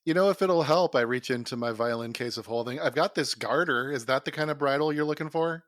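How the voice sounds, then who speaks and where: A man's voice: mid-range voice, a little fast, no room sound, clean studio sound. A man playing in a tabletop game, bantering and joking in a casual way. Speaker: player in a tabletop game podcast.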